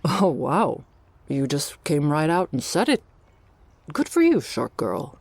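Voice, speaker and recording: A woman acting an earnest, world-weary orca girl in an audio roleplay, with a gentle, measured delivery. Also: low voice, very animated, gravelly, husky, no echo, heavily acted. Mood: friendly surprise.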